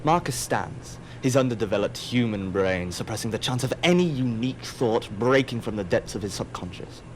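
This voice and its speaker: A man's voice: mid-range voice, expressive, moderate pace, low-quality recording. Wry male narrator over faint noise. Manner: wry, theatrical. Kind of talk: scripted audio drama narration.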